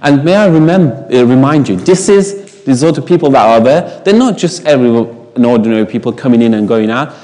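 Lively preacher man with Farsi roots. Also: mid-range voice, a little fast, few pauses, long reverb tail. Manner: animated, emphatic with rhetorical questions. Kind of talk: sermon.

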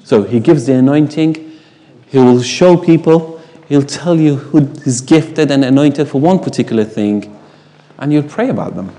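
A man's voice: mid-range voice, moderate pace, dark tone, long reverb tail. A man whose first language is Farsi, preaching a sermon in an emphatic way, asking the congregation rhetorical questions.

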